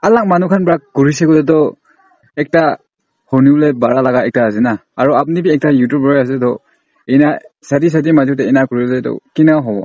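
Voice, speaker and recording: A man's voice: medium pitch, fairly quick, darkish tone, dry recording. A man on a casual, confrontational phone call, scolding and accusing insistently. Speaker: prank caller.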